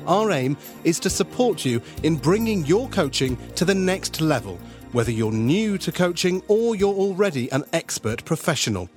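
A man's voice: on the high side, very animated, normal speed, a little mellow. Guy doing a podcast intro, bouncy voice, music playing behind. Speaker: podcast announcer. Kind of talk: scripted intro.

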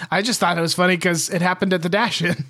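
A man's voice: high-pitched, steady tone, a little fast, sharp tone. A young man co-hosting a podcast, joking dryly and reading short police reports aloud. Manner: dry, teasing humor, easy laughter. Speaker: podcast co-host. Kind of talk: casual chat and reading news items.

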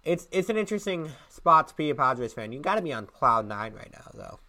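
A man's voice: on the high side, very animated, brisk, pushed and bright. Congested young man joking about baseball. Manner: joking, teasing. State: congested, sick. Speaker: podcast co-host. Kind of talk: casual sports chat.

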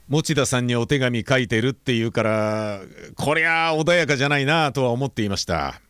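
A man's voice: mid-range voice, a bit bright, no echo, studio quality. An actor playing a polite, apologetic middle-aged father in an audio drama, speaking candidly and a little hesitantly. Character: apologetic, candid, protective father of a grown daughter. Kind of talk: Japanese audio drama acting.

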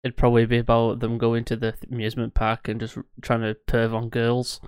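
A man's voice: medium pitch, completely flat delivery, a little fast, darkish tone, clear, resonant tone, dry recording, studio quality. A man co-hosting a casual podcast, blunt and sweary, laughing often. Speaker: podcast co-host.

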